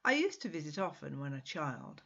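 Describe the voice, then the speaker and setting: Woman voicing a smooth, husky Portuguese charmer. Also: low voice, sing-song, normal speed, a bit bright, highs cut off, full character voice. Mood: confident, chatty. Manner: smooth, courteous, flirtatious. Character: charming, confident Portuguese man with polished English.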